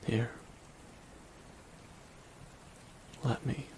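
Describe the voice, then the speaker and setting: A man playing a wry, reflective supervillain in an ASMR audio drama, speaking slowly and softly with wistful pauses. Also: slight background noise, close to an everyday voice. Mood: somber. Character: reflective male supervillain, wry, quietly softened toward his nemesis. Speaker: voice actor in audio roleplay. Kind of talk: ASMR dramatic roleplay monologue. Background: rain.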